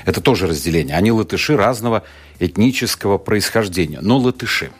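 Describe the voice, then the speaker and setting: Male radio interviewer, very deep, husky, probing. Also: expressive, quick pace, soft background music. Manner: probing, interjects short follow-up questions. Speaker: radio host.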